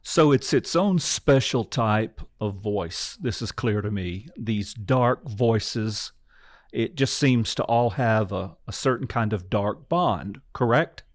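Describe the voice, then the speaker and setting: A man hosting an interview, asking brief, measured questions. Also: lowish voice, lively intonation, no room sound. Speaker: host.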